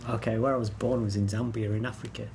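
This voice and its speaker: A man's voice: lowish voice, level tone, fast talker, creaky, darkish tone. Chatty laughing man, faint hiss. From England. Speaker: guest. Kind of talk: casual chat.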